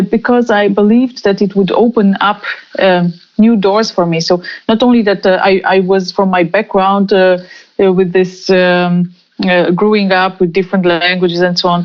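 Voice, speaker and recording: A woman in her forties who grew up in Romania, answering interview questions slowly with many fillers and restarts. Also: medium pitch. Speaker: guest. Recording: muffled lo-fi remote call audio.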